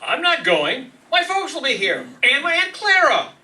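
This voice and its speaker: Man acting a high, sharp, grumbling sitcom husband. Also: moderate pace, distant mic, exaggerated voice. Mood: exasperated. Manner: animated, protesting. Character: grumbling, exasperated but good-natured sitcom husband. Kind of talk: old-time radio sitcom acting.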